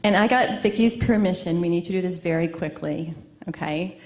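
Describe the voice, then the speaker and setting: A woman's voice: fairly low pitch, normal speed, darkish tone, slight background noise. A woman hosting an event live on stage, speaking off the cuff into a microphone. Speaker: awards event host on stage.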